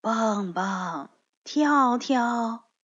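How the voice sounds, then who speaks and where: A woman voicing a kindly, forgiving old grandmother bear in a children's story, speaking gently and softly. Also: medium pitch, animated, muffled recording. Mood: worried. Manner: gentle, slow, soft-spoken.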